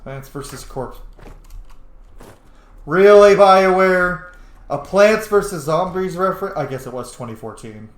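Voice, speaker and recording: Animated, higher-voiced man doing gameplay commentary. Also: a little slow, long pauses, echoey room. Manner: chatty, sweary, excitable. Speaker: gaming video host. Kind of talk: casual let's play commentary.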